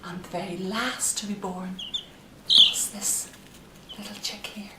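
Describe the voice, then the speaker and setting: A middle-aged woman speaks to young children as a storyteller, slowly and deliberately, with gentle sing-song phrasing. Her voice is picked up from a distance in an echoey room, with some noise behind it. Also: medium pitch, fairly even intonation. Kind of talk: slow, simple narration for young children. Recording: roomy, noisy, distant microphone.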